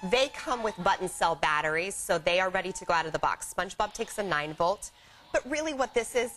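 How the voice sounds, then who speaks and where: A woman's voice: on the low side, expressive, fairly quick, fairly bright tone. A woman presenting products live on shopping television in an upbeat, chatty way, like sharing family stories. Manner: upbeat, nostalgic storytelling. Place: TV shopping studio. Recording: faint background studio noise.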